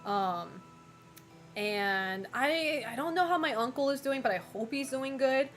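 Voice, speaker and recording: A young woman streamer in casual chat, frank and talkative, laughing often and filling pauses with "like" and "um". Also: high voice, animated, soft background music. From United States and Japan. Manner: chatty, frank, laughs often, many fillers. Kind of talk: casual chat reading viewer messages.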